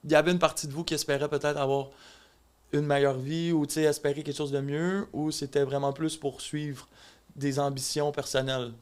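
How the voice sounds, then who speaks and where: A man's voice: a little high, level tone, brisk, clear, resonant tone. A man hosting a casual interview podcast, asking long rambling questions full of fillers. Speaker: podcast host.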